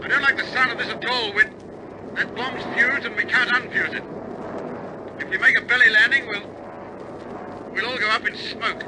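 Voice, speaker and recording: Bomber captain: high voice, grimly steady authority, war radio drama, over heavy aircraft noise. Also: male voice, moderate pace, long pauses. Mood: uneasy. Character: resolute male bomber pilot captain, grimly steady under danger. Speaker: actor. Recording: loud aircraft engine drone. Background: aircraft engine noise.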